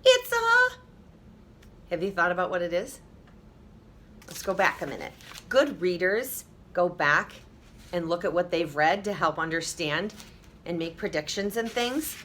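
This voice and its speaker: A woman's voice: fairly low pitch, animated, normal speed, fairly bright tone, a little hiss or hum. A woman teacher reading a children's story aloud expressively, with emphasis, addressing young listeners directly.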